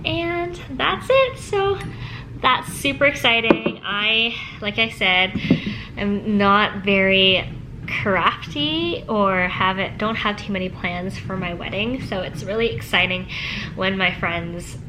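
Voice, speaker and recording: A woman's voice: mid-range voice, takes it slowly, noisy background. A young woman vlogging in casual, rambling chat, her voice worn and her nose stuffy as she loses her voice. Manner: chatty, rambling, peppered with "like". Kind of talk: casual daily vlog chat. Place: at home, then at a restaurant.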